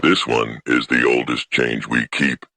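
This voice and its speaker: A man voicing an ancient, solemn female alien elder in a science fiction audiobook, speaking with slow deliberation and a gravelly voice. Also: fairly even intonation, sharp tone, no echo, heavily acted. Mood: solemn, reverent. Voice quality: very low, gravelly. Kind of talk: scripted audiobook character voice.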